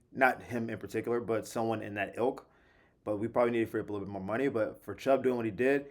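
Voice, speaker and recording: Slightly hoarse, animated sports host man. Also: lowish voice. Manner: animated, conversational, slangy, with quick asides. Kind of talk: casual solo sports commentary.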